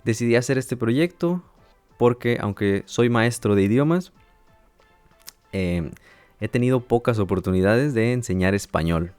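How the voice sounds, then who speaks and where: A man hosting a language-learning podcast, speaking calmly and patiently like a teacher who checks in often with his listeners. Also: medium pitch, clear, resonant tone, dry recording, faint music behind. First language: Spanish. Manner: calm, measured, with teacherly check-ins and light laughs. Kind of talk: educational podcast monologue.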